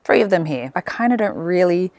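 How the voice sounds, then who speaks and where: Slightly croaky woman, garden chat. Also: on the low side, fairly quick, dry recording. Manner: chatty, relaxed, thinking aloud. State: croaky, just woke up. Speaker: gardening vlogger. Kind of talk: casual garden vlog narration. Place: outdoor garden.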